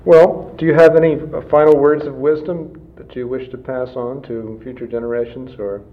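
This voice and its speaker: A man's voice: medium pitch, little pitch movement, moderate pace. A man interviewing a student, asking probing questions and often rephrasing them mid-sentence. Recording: tinny phone-like recording with faint noise.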